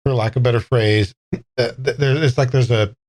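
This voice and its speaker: A man playing a tabletop game, talking through his character's choices in a halting, careful way. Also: mid-range voice, steady tone, darkish tone. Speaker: player in a tabletop game podcast. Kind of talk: thoughtful improvised game-table talk.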